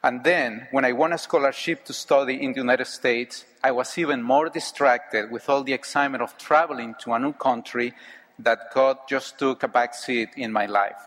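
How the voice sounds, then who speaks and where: A middle-aged man from Mexico, speaking English with a Mexican Spanish accent, giving an earnest, measured personal testimony speech. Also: mid-range voice, steady tone, a bit bright. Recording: hall with long reverb.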